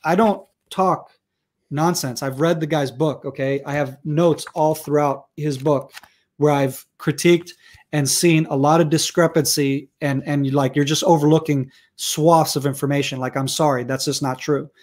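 Passionate higher-voiced man, American accent. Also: fairly even intonation, moderate pace. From Israel. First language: Hebrew. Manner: passionate, argumentative, many restarts. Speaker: guest researcher. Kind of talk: video call interview. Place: room at home. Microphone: wired earphones on video call.

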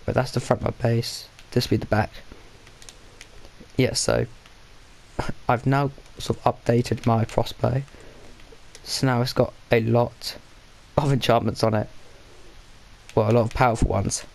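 A man with a stuffy, blocked nose talks his way through a game session in a loose, rambling way. He often stops mid-sentence to correct himself and mutters little asides to himself. His voice sits at an ordinary pitch and has a gravelly edge. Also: little pitch movement, unhurried, long pauses, a little mellow. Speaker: gaming channel host. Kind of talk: casual gameplay commentary.

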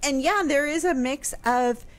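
A woman's voice: high voice, measured pace. A middle-aged American woman in a casual radio discussion, speaking as the show's historian into a close microphone. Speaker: historian and talk show guest expert. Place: home room, indoors. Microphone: close studio mic on boom arm, headphones.

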